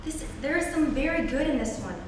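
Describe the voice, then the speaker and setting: A woman's voice: high-pitched, animated, brisk. A young woman playing an eager, sweetly insistent girl in an amateur reading of a stage comedy. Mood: pleading. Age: teens to young adult. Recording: very noisy echoey hall, distant mic.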